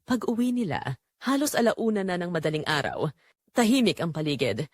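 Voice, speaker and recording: A woman's voice: on the low side, very animated, normal speed, darkish tone, no room sound, clean studio sound. A woman with a Filipino accent reading a scripted dramatic story as the narrator of an audio drama.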